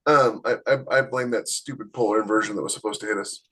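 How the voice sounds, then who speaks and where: A man's voice: fairly low pitch, steady tone, quick pace, fairly bright tone, husky. A man chatting casually as a podcast co-host, giving writing advice in a blunt, emphatic, opinionated way.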